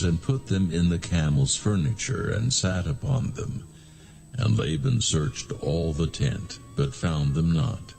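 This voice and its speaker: A man narrating scripture from a script in a measured, solemn manner. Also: deep voice, creaky, warm tone.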